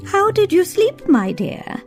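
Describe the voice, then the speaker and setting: A woman playing a shrewd, kindly queen in a children's storybook, speaking in a gracious, theatrical and deliberate way. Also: very high-pitched, big pitch swings, exaggerated voice. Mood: gracious, pleased. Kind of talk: children's interactive storybook acting. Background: faint music.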